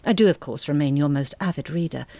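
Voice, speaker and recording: A woman playing an articulate, bitter middle-aged mother in an audio drama monologue, speaking in a measured, intimate way. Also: sits low, warm tone. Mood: simmering resentment. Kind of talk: dramatic monologue, letter read as confession.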